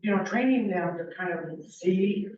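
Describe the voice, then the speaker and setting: A man's voice: sits high, a little slow, a little mellow, a little hiss or hum. A man with a big, carrying voice, informally relaying a question from the audience. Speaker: audience member relaying another person's question. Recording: muffled, echoey room, far from mic.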